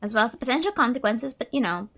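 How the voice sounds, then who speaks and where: A woman's voice: a little high, lively intonation, racing, fairly bright tone. A young woman streamer giving casual, chatty gameplay commentary, rambling and musing aloud. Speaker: game streamer. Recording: game music behind the voice. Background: game music.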